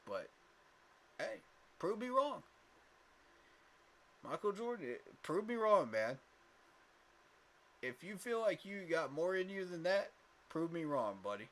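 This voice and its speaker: A man's voice: high-pitched, big pitch swings, slow pace, pauses often, a bit bright, poor audio. A man hosting a casual fan livestream, talking emphatically with lots of fillers and repeated words, tired from little sleep. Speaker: fan channel host.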